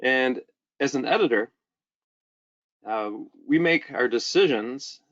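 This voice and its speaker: A man giving an informal webinar lecture, speaking haltingly and often repeating words. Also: medium pitch, expressive, a bit bright. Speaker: webinar presenter, journal editor. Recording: dull, band-limited webinar audio.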